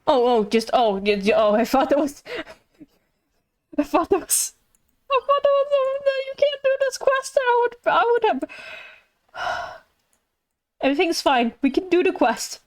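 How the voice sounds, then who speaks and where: A woman's voice: extremely high pitch, sing-song, a little slow, long pauses. A woman streaming a video game with casual, rambling commentary, playfully repeating her phrases. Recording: game music behind. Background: game music.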